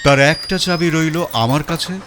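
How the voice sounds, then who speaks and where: A man narrating a detective story in the first person, in an expressive, dramatic reading style. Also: on the high side, moderate pace. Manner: expressive, rising and falling. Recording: faint music bed.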